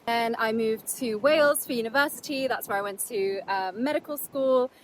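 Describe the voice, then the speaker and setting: A woman's voice: on the high side, moderate pace, poor audio. A young woman with a British accent, talking casually to the camera on a windy beach in a rambling, chatty way. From Netherlands. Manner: chatty, rambling, with fillers and restarts. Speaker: travel vlogger.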